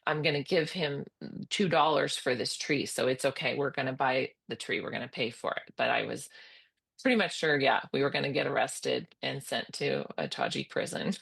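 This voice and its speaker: A middle-aged woman with a low, deep-set voice tells a story in a loose, chatty way. She wanders, restarts her sentences and leans on fillers as she goes. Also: moderate pace, vocal fry, fairly bright tone, breathy edge. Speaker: podcast guest. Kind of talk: casual storytelling.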